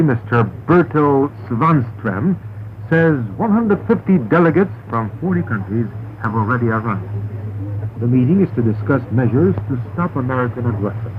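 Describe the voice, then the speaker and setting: A man reads a formal scripted news bulletin as a radio newsreader, in a measured, carefully enunciated way. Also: mid-range voice. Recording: noisy narrow-band shortwave radio recording.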